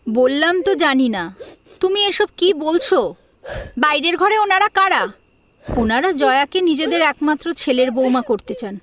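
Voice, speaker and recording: A woman's voice: high-pitched, animated, a little slow, a bit bright, no room sound. A woman acting a weary, curt middle-aged mother and mother-in-law in an audio drama. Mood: restrained.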